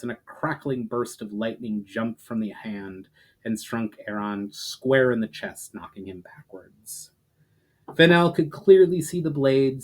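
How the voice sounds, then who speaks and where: A man reading fantasy fiction aloud as a dramatic narration, hitting phrase openings with emphasis and letting sentence ends fall away. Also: mid-range voice. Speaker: writer reading own story aloud.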